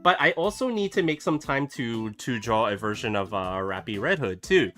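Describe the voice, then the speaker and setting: A man's voice: medium pitch, lively intonation, moderate pace. A man chatting casually while he draws, rambling with many fillers and restarts, still getting over a cough. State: recovering from a cough. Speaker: artist streaming a drawing session. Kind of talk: casual chat while drawing. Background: soft background music.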